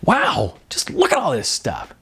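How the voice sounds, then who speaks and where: A man voicing an energetic, chatty young actress in an audiobook, breezy and exclaiming. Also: mid-range voice, a little slow, slightly rough, pitched above the performer's own voice, full character voice. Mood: excited, breezy.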